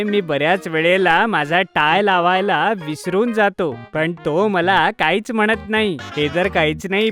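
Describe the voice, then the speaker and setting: A man's voice: high-pitched, fairly bright tone. A man playing a cheeky, self-interested young schoolboy in a children's cartoon. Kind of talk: Marathi children's cartoon dialogue.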